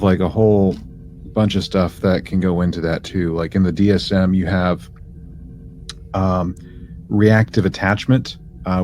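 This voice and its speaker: Low-voiced man chatting on a podcast. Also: darkish tone, a little hiss or hum. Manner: halting, informal, slangy. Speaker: podcast co-host. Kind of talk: casual explanatory podcast chat.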